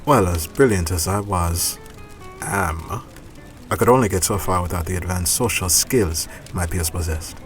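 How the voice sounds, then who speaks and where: An actor playing a gentle, courteous homeless man, once an engineer, with polite, formal speech in an audio drama. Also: sits low, moderate pace, soft background music, lightly acted. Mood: wistful.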